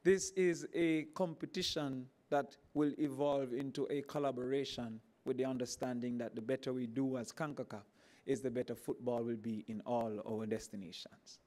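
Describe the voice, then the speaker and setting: A man with a Jamaican accent, a government minister, giving a formal speech at a podium with emphatic, rising emphasis. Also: normal speed. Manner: animated, emphatic, climbing pitch on key points.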